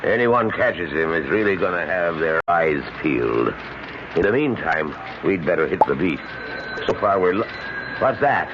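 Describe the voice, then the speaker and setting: An actor playing a gruff, plain-spoken veteran police sergeant with a gravelly voice in an old-time radio drama. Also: male voice, deep voice, very animated, moderate pace. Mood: weary, wary. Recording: dull, hissy old radio recording.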